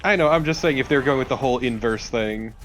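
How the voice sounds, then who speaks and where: A man's voice: mid-range voice, fast talker, faint music behind. A man talking casually and bluntly as co-commentator on a video game let's play. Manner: blunt, informal.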